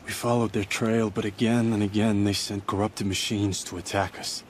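Male actor as a wounded warrior, earnest, low voice, game cutscene. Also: little pitch movement, moderate pace, a little hiss or hum, close to an everyday voice. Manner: earnest, urgent, solemn.

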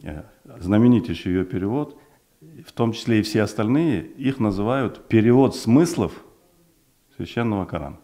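A man's voice: fairly low pitch, expressive, normal speed, mellow. A man in his forties whose first language is Uzbek, a guest talking in a studio interview with a desk microphone, speaking thoughtfully with many fillers and restarts. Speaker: project developer guest. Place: small television studio. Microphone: desk mic on stand.

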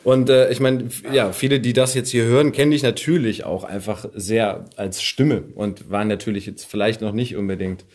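A man's voice: lowish voice, normal speed, hardly any pauses, darkish tone. A man in his thirties hosting a casual interview chat, informal and attentive, putting in short acknowledgements. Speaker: podcast host, interviewer.

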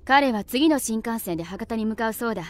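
A woman's voice: on the high side, expressive, a little fast, natural voice. A woman playing a stern, composed student with stiff, old-fashioned formal speech in an anime audio drama. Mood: matter-of-fact.

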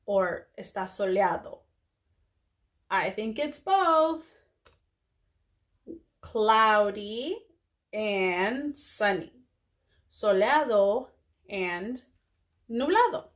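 Very slow-speaking young woman teaching small children. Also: mid-range voice, expressive. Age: young adult. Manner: deliberate, enunciated, questioning teacher talk for small children. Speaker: preschool teacher. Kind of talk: children's lesson, addressing kids, English with some Spanish words.

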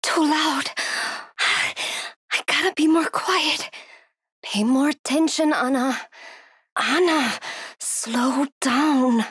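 High-voiced, frightened young woman survivor. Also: steady tone, very slow, long pauses, fairly bright tone, no echo, clean studio sound, exaggerated voice. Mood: tense, hushed. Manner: breathy urgent self-talk, pleading. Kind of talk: video game horror voice acting.

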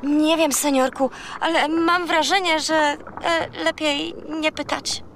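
A chatty, cheeky lady seabird from a children's radio story. The voice is piercingly high and drawn out, with a brash know-it-all manner. There is faint noise behind it. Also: fairly even intonation, slow, fairly bright tone, heavily acted. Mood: correcting. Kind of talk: children's audio play acting.

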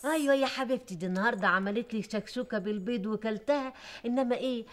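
A woman's voice: on the high side, fast, natural voice. A woman playing an outspoken older Egyptian mother-in-law. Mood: teasing. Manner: outspoken, teasing.

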